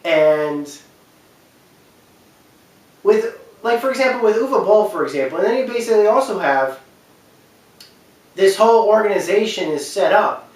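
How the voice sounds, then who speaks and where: A man's voice: high voice, animated, moderate pace, long pauses. Echoey, distant man talking emphatically. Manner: emphatic, repeats phrases for stress. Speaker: video commentator. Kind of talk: unscripted opinion talk about film and games. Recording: very echoey room, distant mic.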